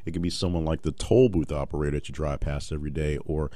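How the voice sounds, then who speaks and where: Very deep-voiced man hosting a podcast. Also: steady tone, fast, dark tone, no echo. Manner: unscripted, rambling with fillers and restarts. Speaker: podcast host. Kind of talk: solo motivational podcast talk.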